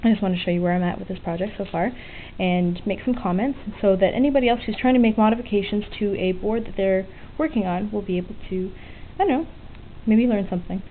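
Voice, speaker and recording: A woman's voice: mid-range voice, quick pace, hardly any pauses. Woman in phone-like lo-fi audio, casually explaining. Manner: informal, frank, explanatory. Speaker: DIY electronics hobbyist presenting a tutorial. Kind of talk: casual unscripted hands-on demonstration. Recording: muffled phone-like lo-fi audio with faint noise.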